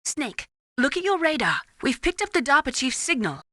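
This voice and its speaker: A woman's voice: sits high, very animated, moderate pace, sharp tone, no room sound, studio quality, heavily acted. A woman playing an earnest support operative in a video game, explaining things precisely in a scripted briefing. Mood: informative.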